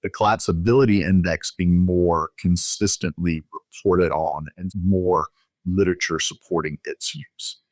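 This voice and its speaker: Low-voiced man explaining clinical technique. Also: fairly even intonation, unhurried, no echo. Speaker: physician guest expert. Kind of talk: explanatory medical interview.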